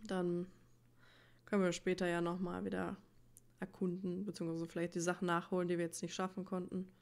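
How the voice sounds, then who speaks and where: A woman's voice: lowish voice, monotone, a little fast, vocal fry, a little mellow. A woman giving casual gameplay commentary, rambling and thinking aloud as she plays. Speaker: let's player. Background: game music.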